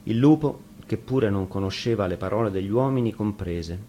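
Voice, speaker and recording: A man's voice: fairly low pitch, normal speed, dark tone, a little hiss or hum. A man reading a fairy tale aloud as a storyteller, in scripted narration.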